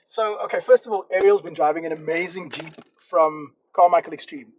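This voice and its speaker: A host introducing and interviewing a guest on a car show, lively and quick to interject. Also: medium pitch, slight background noise. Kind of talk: interview hosting and scripted intro.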